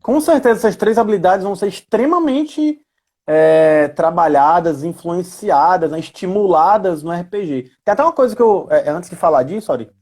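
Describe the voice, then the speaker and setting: A man's voice: high-pitched, expressive, normal speed, a little mellow, soft background music. A man with a Northeastern Brazilian accent from Ceará, explaining things in a casual, conversational way and often checking in with tag questions. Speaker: educator, guest on a live chat.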